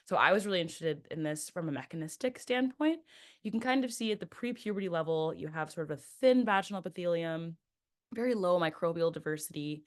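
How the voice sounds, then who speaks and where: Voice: medium pitch, fairly bright tone. A researcher presenting findings in a webinar, explaining steadily with frequent ums. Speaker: researcher panelist.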